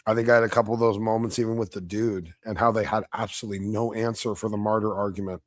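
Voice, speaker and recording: A fairly low-voiced man with a slight hoarseness, talking in a blunt, combative, cussing way. His delivery is casual and off the cuff, like a streamer commenting live. The sound is close and dry, with no room around it. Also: steady tone, fast talker, no room sound. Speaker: debate reviewer streaming live.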